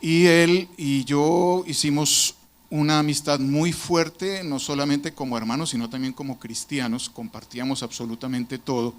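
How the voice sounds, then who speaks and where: A man's voice: fairly high pitch, fairly even intonation, moderate pace. A man from Colombia, giving an earnest, reflective personal testimony to a church congregation.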